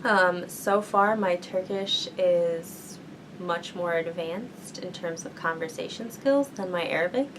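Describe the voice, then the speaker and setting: Young woman student, low voice, humming background. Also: slow pace, noisy background. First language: English. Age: young adult. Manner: thoughtful, many ums and likes. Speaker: university student. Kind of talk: interview answers.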